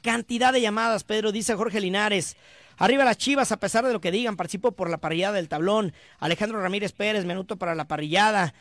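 A Mexican man hosting a sports radio show, reading listener messages in brisk, chatty, teasing banter. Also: sits high, a bit bright, no room sound. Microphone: studio microphone.

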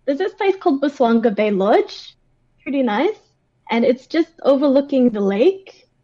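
A woman with an Australian accent speaks in a fairly high, mellow voice with a slightly dull recording quality. She chats casually as an interview guest, telling personal stories in a talkative, informal way. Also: normal speed. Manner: chatty, animated retelling with acted-out quotes and frequent "like".

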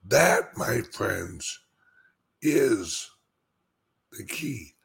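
Very slow-talking raspy man. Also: lowish voice, animated, a bit bright. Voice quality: speech impediment. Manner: emphatic, repeats phrases with dramatic pauses. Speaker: cryptocurrency commentator and show host. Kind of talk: informal monologue commentary to followers.

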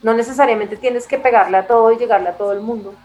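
A woman's voice: on the high side, fast, a little mellow. Bogotá woman telling stories, music behind. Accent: Bogotá Colombian. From Bogotá, Colombia. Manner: conversational, emphatic, repeats phrases for stress. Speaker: interview guest, music and culture magazine editor. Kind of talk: casual, reflective interview storytelling.

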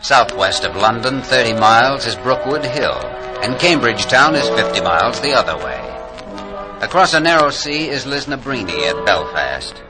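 A man acting as a solemn, formal narrator in 1940s radio style, measured and declamatory, on an old broadcast recording. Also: fairly low pitch, close to an everyday voice, poor audio. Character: solemn memorial narrator reading a roll of war cemeteries. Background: prominent musical score.

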